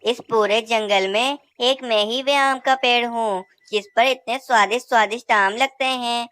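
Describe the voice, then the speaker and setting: A woman's voice: on the high side, steady tone, normal speed, fairly bright tone, clean tone, no echo, natural voice. A woman voicing a proud male mango tree in a cartoon, grown boastful about his fruit. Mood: resentful. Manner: pleading then haughty. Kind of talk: cartoon voice acting.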